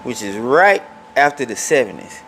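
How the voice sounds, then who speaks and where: A man's voice: mid-range voice, big pitch swings, moderate pace, fairly bright tone, rough recording. Podcast host, man in his forties, faint hum. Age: 40s. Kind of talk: casual conversation.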